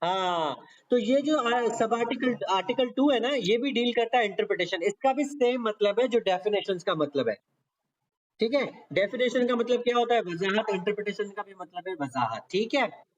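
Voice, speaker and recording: A man's voice: high-pitched, lively intonation, normal speed. A man with a Pakistani accent teaching law in a conversational exam-prep style, explaining step by step with repetition and frequent check-in tags. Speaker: law lecturer. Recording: dull, lo-fi lecture audio.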